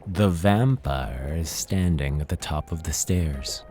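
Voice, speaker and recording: A man's voice: rumbling low, slow pace, dark tone, slight background noise. A man narrating a scripted horror tale as a dramatic storyteller.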